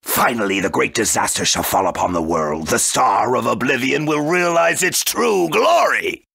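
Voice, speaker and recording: A man playing a grandiose, menacing villain in a video game, bent on wiping out the world, with a gravelly, theatrical delivery. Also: medium pitch, animated, normal speed, pushed and bright, no echo, heavily acted. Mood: exultant menace.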